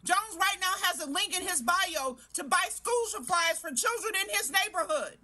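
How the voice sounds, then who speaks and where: Sharp-tongued high bright woman. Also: lively intonation, moderate pace. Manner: sharp, sarcastic, lecturing. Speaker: panelist on a live-stream debate. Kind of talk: heated political debate.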